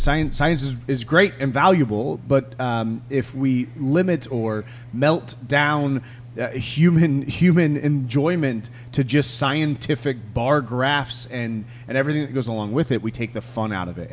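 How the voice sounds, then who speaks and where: A man hosting a casual podcast, speaking earnestly and stressing key words by repeating them. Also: mid-range voice. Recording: faint hum behind voice.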